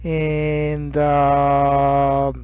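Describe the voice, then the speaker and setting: A middle-aged man with a Japanese accent chatting as an amateur radio operator, halting and often searching for words. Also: on the high side, completely flat delivery, a little mellow, clear, resonant tone, no echo. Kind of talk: casual radio contact chat.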